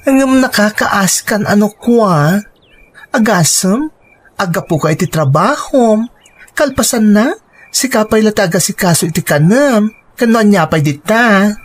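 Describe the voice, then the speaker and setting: A woman's voice: mid-range voice, animated, unhurried. A woman with an Ilocano accent acting an earnest, persuasive young working woman in a radio drama. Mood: weary, complaining.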